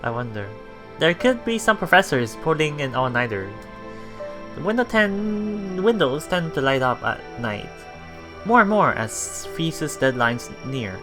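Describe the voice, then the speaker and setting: A man reading a visual novel aloud for a let's play, halting and repeating words, breaking off for casual commentary. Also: a little high, very animated, over music.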